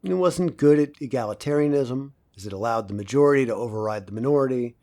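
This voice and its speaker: Man acting earnest male professor, mid-range voice, didactic, measured, self-assured, audio drama lecture. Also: medium pitch, expressive, mellow, natural voice. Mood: earnest, opinionated. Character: earnest academic professor lecturing in dystopian world.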